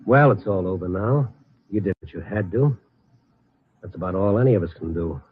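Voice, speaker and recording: An actor playing a world-weary, sympathetic newspaper columnist in a 1940s radio drama. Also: male voice, low voice, moderate pace, slight background noise, close to an everyday voice. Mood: world-weary, soothing. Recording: tinny, narrow-band vintage radio recording.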